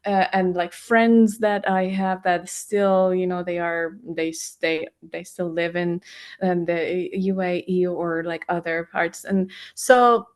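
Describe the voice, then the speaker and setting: A woman from Iran, a native Farsi speaker, guesting on a podcast and talking in a halting way with many fillers. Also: on the low side, fairly even intonation. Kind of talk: casual conversation.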